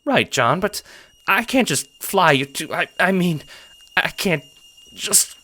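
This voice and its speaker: A man voicing a doting, jovial but easily flustered elderly grandmother in an audio drama, with a gentle, fussy manner. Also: sits high, animated, slow, voice pushed up, exaggerated voice.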